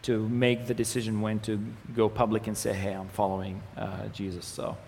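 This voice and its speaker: Young Arabic-speaking man from the Galilee giving a casual church talk, gravelly, with a long hall echo. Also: lowish voice, moderate pace. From Israel, Galilee. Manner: relaxed, joking, many fillers and restarts. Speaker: ministry communications manager giving a presentation. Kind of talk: church presentation with slides. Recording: echoey hall with faint noise.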